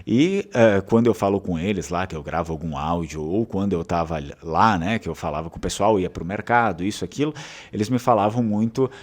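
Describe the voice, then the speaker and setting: A man's voice: deep voice, a little fast. A man from southern Brazil with a southern Brazilian Portuguese accent, hosting his channel in a casual, rambling talk full of fillers and restarts. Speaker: channel host.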